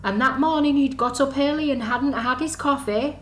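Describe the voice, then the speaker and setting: A woman reading a story to young children in an animated, sing-song, exaggerated way, as a storyteller for under-fives. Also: high voice, moderate pace. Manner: sing-song, exaggerated, asks the listeners questions. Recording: echoey room, faint background noise.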